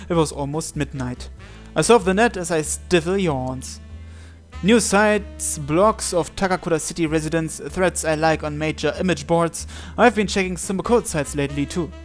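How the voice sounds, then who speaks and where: Male game host, fairly high voice, dramatic read-aloud of visual novel text with casual asides, music underneath. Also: animated, normal speed. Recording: music behind the voice.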